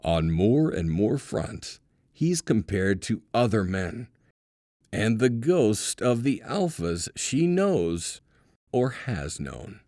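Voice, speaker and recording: A man narrating an audiobook from a script, deliberately, with dramatic pauses and emphasis. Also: fairly low pitch, sing-song, mellow, no echo, clean studio sound.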